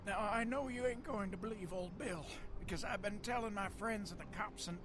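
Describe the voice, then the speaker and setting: Man acting a high-voiced frightened old drunk. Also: brisk, background noise, close to an everyday voice. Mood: rambling. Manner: rambling, pleading, folksy. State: coughing, sickly. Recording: loud music behind game dialogue. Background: loud game music.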